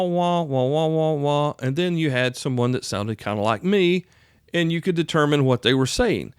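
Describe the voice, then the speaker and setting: A man's voice: fairly high pitch, no room sound. Folksy man teaching, very dry close sound. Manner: plain-spoken, folksy, emphatic, checks in with listener. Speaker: podcast host, radio instructor. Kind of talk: conversational instructional podcast.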